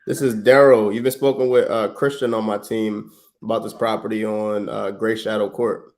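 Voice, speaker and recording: A man from Trinidad with a somewhat low voice talks in a loose, slangy, friendly way. He stumbles and restarts often while he chats and pitches. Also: little pitch movement, moderate pace, darkish tone. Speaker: real estate investor, livestream host. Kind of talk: casual livestream chat and sales phone call.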